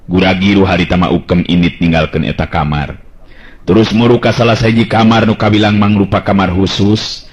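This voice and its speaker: Deep-voiced Sundanese male storyteller. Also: steady tone, a little fast. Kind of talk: dramatic Sundanese folk-tale narration. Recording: dull lo-fi radio-style recording.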